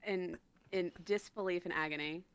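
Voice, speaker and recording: A woman's voice: fairly low pitch, steady tone, moderate pace, a little hiss or hum. A woman bantering casually as a livestream guest, playful and mock-serious. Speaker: guest on the show, voice actor.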